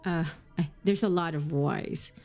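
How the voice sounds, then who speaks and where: A woman's voice: sits low, unhurried, a little mellow, faint music behind. A woman streamer chatting playfully and laughing often while commenting on a game. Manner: giggly, playful.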